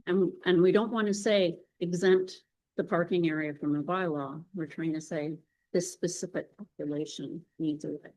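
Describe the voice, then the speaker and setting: A woman's voice: on the low side, fairly even intonation, moderate pace, warm tone, poor audio. A woman addressing a township council as a delegate, speaking earnestly to make her case. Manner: earnest, pleading. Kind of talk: public meeting presentation.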